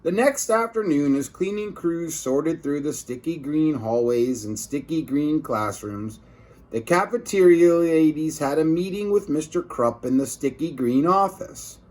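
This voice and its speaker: A man's voice: fairly high pitch, animated, measured pace, a little mellow. A man reading a children's book aloud as a teacher, expressively emphasizing words for young listeners.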